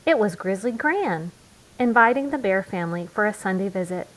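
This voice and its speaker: A woman narrating a children's storybook aloud in a deliberate way, with emphatic pauses. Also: mid-range voice, lively intonation, rough recording. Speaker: children's book reader.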